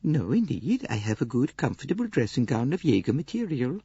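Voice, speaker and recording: A man voicing a gentle Swedish lady. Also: medium pitch, very animated, brisk, breathy edge, dry recording, pitched above the performer's own voice, heavily acted. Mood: earnest. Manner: soft, earnest, precise formal phrasing. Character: kindly pious middle-aged Swedish woman, gentle. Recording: dull dry studio recording.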